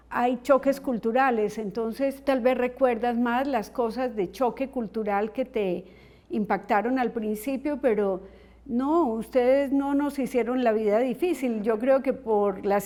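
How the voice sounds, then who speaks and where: A middle-aged woman from Colombia, a psychologist hosting a podcast, explaining calmly in a reflective family conversation. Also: fairly high pitch.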